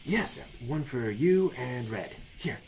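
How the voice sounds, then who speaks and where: A man's voice: medium pitch, lively intonation, slow, vocal fry, darkish tone. A man playing a sly, treacherous young informant in a noir audio drama. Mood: helpful, nervous. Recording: echoey space, faint background noise.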